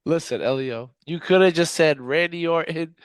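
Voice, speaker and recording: A man's voice: on the high side, normal speed, no echo. A man co-hosting a podcast, bantering casually through a guessing game. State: feverish, by own account. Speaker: podcast co-host.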